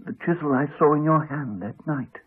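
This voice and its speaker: An actor playing an earnest, wry, reflective doctor in a 1980s radio drama. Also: male voice, fairly high pitch, moderate pace, husky. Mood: gentle, probing. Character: earnest male doctor, reassuring, wry, later weary and dying. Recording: muffled, narrow-band 1980s radio broadcast.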